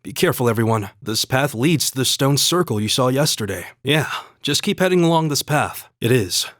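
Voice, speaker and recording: A man voicing a calm, courteous young spear fighter from the plains in a video game, speaking in an earnest, measured, polite way. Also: medium pitch, dry recording, studio quality, lightly acted. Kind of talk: scripted game dialogue and battle barks.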